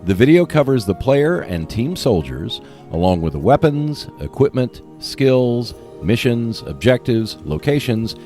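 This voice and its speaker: A man presents a solo podcast in a mid-pitched voice with lively, swinging intonation. His delivery is that of prepared announcements and commentary. Faint music plays behind him. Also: measured pace, few pauses. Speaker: podcast host.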